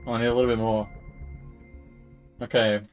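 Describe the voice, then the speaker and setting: A man's voice: mid-range voice, flat delivery, normal speed, soft background music. Rambling male game streamer. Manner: rambling, thinking out loud. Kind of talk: casual live stream commentary.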